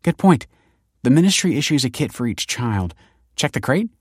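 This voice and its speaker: Audiobook heroine read by a man: young, sharp-tongued, teasing, voice lifted higher, brisk and sardonic. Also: very animated, husky, no room sound, studio quality. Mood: brisk, informative.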